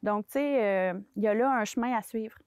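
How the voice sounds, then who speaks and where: A Québécois woman explains a whole industry sector in an interview, stopping often for fillers and restarting her sentences. Her voice sits a little high. The sound is close and dry, with almost no room around it. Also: brisk, darkish tone, smooth, dry recording. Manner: hesitant, many fillers and restarts. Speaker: mycology sector expert.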